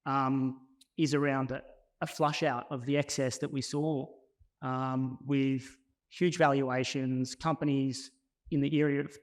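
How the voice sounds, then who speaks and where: A man hosting an on-stage interview, putting questions in a conversational style. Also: medium pitch. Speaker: interviewer, event host.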